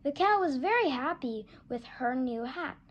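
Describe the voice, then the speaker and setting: A woman reading a children's picture book aloud, gentle and expressive, slowing down for the story and putting on small voices for the animals. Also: sits high, breathy edge, highs cut off. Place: indoors at a table, turning a picture book.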